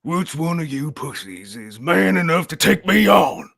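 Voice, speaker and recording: A man playing a hulking, crude, taunting mutant brawler in a radio theatre read, brash and jeering with a rough, gravelly voice. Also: fairly high pitch, sing-song, measured pace, a bit bright, exaggerated voice.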